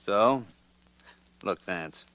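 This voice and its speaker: A smug blackmailer from a vintage radio crime play, American, with a lowish, hard-edged voice. He talks in taunting, hard-boiled slang. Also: animated, extremely slow, sharp tone, no echo, heavily acted. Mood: threatening. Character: smug male racketeering print-shop owner, a blackmailer. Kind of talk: mid-century American radio detective drama acting.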